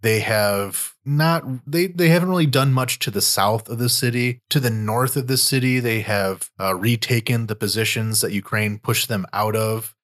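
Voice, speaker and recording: A man's voice: lowish voice, normal speed, hardly any pauses, a bit bright. A man hosting a podcast, talking through war news in a loose, conversational way and often restarting his sentences. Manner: loose, thinking aloud with frequent restarts. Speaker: podcast host and war reporter.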